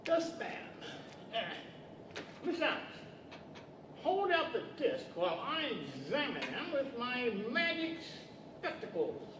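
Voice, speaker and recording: A man playing a pompous, theatrical wizard who explains things deliberately in an old radio-play style. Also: very high-pitched, sing-song, heavily acted. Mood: courtly, careful. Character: male wizard of Oz, fussy, theatrical, learned magician. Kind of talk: 1940s radio-style stage acting. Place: library stage, microphones on stands. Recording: echoey hall from far off, noisy.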